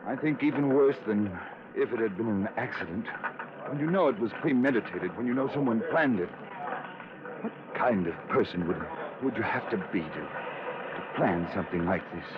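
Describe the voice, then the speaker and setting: An actor playing a distraught male airline representative, halting and stammering with emotion, in a 1950s radio drama. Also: lowish voice, animated, close to an everyday voice. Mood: shaken, grieving. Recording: muffled old radio recording, loud noise.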